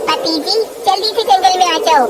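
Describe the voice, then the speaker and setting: A woman's voice: very high-pitched, moderate pace, heavy background noise. A woman voicing a scheming, greedy female eagle who gloats, in a children's cartoon. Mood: proud, excited. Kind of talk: Hindi children's cartoon dialogue.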